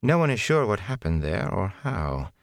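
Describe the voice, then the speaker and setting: A man voicing a cold, menacing young woman who serves a dark lord, unhurried and condescending, in an audiobook. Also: fairly low pitch, very animated, gravelly, dry recording, clean studio sound, pitched above the performer's own voice. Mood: condescending menace. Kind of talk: audiobook character acting.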